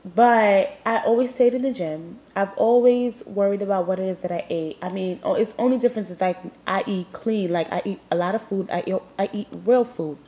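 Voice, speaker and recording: A woman's voice: medium pitch, normal speed, hardly any pauses, dark tone. A young woman hosting her own show, talking bluntly and with emphasis straight to her listeners; she sounds nasal and sniffly from a cold. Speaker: podcast host. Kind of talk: candid motivational monologue.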